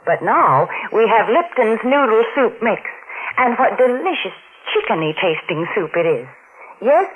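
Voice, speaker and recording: A woman working as a sponsor's announcer, giving a folksy, persuasive 1940s radio commercial pitch. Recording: muffled, noisy 1940s radio broadcast.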